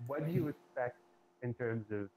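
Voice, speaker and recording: Halting male host, noisy recording. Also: mid-range voice, lively intonation, a little mellow. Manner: halting, searching for words. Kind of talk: interview questions.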